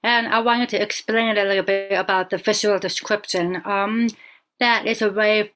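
A woman's voice: mid-range voice, steady tone, moderate pace, fairly bright tone, faint music behind, low-quality recording. Woman w a deaf accent, podcast guest, earnest and explaining, lots of ums. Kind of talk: casual conversation.

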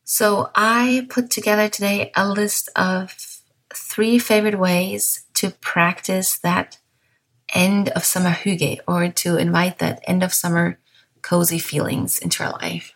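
Soft-spoken German woman, gravelly low voice. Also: little pitch movement, poor audio. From Bavaria, southern Germany. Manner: soft, unhurried and mindful, with small restarts. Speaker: podcast host. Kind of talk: gentle podcast monologue.

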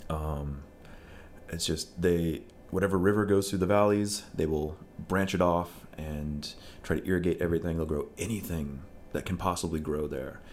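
A man's voice: deep voice, moderate pace, a little mellow, slight background noise. A man talking casually in conversation, blunt and wry as he tells stories. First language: English.

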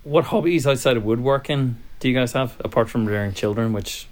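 A man's voice: mid-range voice, a little fast, a little mellow. A chatty man, in a mid-range voice, co-hosts a podcast. He talks bluntly and casually as he puts questions to the others. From Northern Ireland. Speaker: podcast co-host. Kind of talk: casual chat, interviewing co-hosts.

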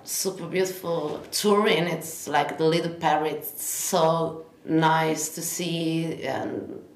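A woman's voice: sits low, fairly even intonation, slow. A young woman from Italy, speaking English with an Italian accent as an interview guest, rambling through lists with many fillers. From Italy, Piedmont. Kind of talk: casual interview chat. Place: apartment in Bordeaux. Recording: roomy with faint noise.

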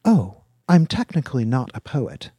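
A man's voice: medium pitch, sing-song, normal speed, mellow, breathy edge, dry recording, studio quality, voice pushed up. A man voicing an eager, naive young male college student in an audiobook, chatting in casual slang. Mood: eager, chatty. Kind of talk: audiobook character dialogue.

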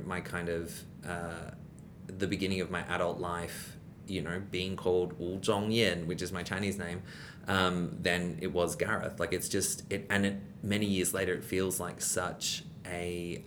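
A young adult man, a guest in a casual interview, talking in a rambling way with many restarts and fillers. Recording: rumbling background noise.

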